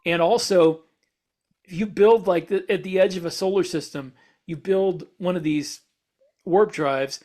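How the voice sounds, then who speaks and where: Guy with a slightly high voice just chatting on a podcast, explaining stuff as he thinks it through. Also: measured pace, darkish tone. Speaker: podcast host and author. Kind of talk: casual discussion.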